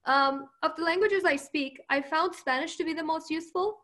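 A woman's voice: high-pitched, moderate pace, pushed and bright. A woman whose first language is Persian, answering interview questions in a confident, upbeat way and laughing often.

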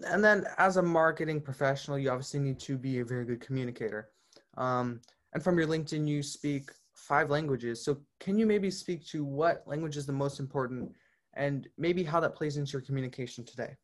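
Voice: medium pitch, brisk. An interviewer asking long, hesitant questions, often stopping on fillers.

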